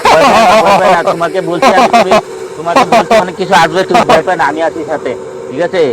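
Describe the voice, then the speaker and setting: A man's voice: sits high, animated, quick pace, heavy background noise. A man playing an earnest, reassuring villager in an improvised comedy skit, repeating himself and constantly checking for agreement. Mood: reassuring, urgent. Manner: repetitive, constantly seeking agreement. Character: earnest villager guide organizing a jinn hunt, reassuring.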